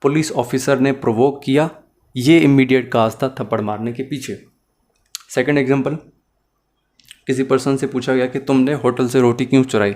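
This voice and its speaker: Flat-voiced man giving a law lecture. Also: medium pitch, normal speed. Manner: explains step by step, mixes English terms into Hindi. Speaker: law teacher.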